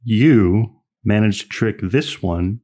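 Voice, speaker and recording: A man's voice: on the low side, slow, warm tone. A man voicing a dry, wry adult male bookshop owner in a tabletop game.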